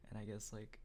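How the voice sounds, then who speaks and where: A man, a voice actor, answering fan questions in a soft, half-whispered way, hesitant and full of pauses. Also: a little hiss or hum. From Texas, US. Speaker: voice actor, channel host.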